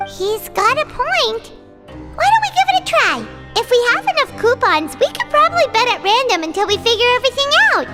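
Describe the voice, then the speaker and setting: A woman voicing a small, childlike fairy companion in a video game, squeaky, inquisitive, chatty and a little timid. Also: very animated, fairly quick, bright, forceful tone, full character voice. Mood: curious. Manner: inquisitive, refers to self in third person. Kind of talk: video game character acting. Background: music.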